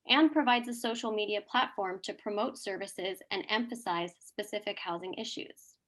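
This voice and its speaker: A woman's voice: medium pitch, fairly bright tone. A woman in her twenties from California with an American accent, giving a scripted student presentation. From California, United States. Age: 20s.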